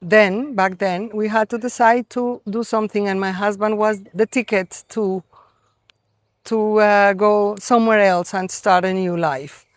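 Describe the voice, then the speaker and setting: A middle-aged woman from Colombia with a Colombian Spanish accent, giving an earnest, reflective spiritual talk to a gathering, with many pauses and fillers. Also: mid-range voice, steady tone, dry recording. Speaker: gathering organizer.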